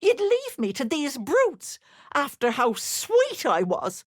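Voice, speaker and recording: An actress playing a sly old hag who poses as a sweet auntie in a video game, cooing and wheedling with menace underneath. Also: female voice, sits high, wildly swooping pitch, takes it slowly, heavily acted. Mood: aggrieved, menacing. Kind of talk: theatrical video game character acting.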